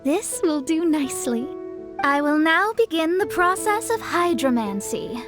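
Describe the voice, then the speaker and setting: A woman's voice: sits high, lively intonation, unhurried, music in the background. A woman voicing a proud, confident young female astrologer mage in a video game, self-assured, formal and a little boastful. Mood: confident, businesslike. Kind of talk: English video game voice acting.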